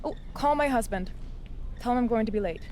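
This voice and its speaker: Brisk, higher-pitched female executive, acted. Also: darkish tone, faint music behind, natural voice. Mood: brisk, bossy. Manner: brisk, businesslike. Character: brisk, bossy but friendly female executive. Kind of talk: scripted video game dialogue.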